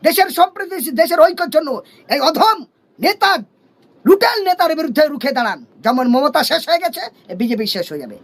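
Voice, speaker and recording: A man's voice: very high voice, fairly bright tone. A man giving a fiery, combative political speech as an activist, with impassioned, emphatic repetition. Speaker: human rights activist.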